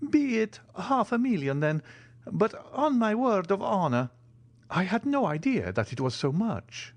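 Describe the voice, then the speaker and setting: A man's voice: high voice, very animated, a little slow, no room sound, voice pushed up, heavily acted. A man voicing a timid, eager old Italian ex-major who fawns and exclaims. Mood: eager, fawning. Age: older.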